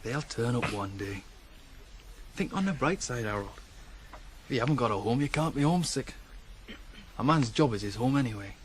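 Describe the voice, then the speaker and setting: A young farm lad acted by a man in a 1980s radio drama. He talks bluntly, with a teasing, fatherly streak, at a middling pitch over faint background noise. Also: lively intonation, normal speed, long pauses, lightly acted. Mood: blunt, consoling. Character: nineteen-year-old farm lad, male, teasing, blunt but fatherly.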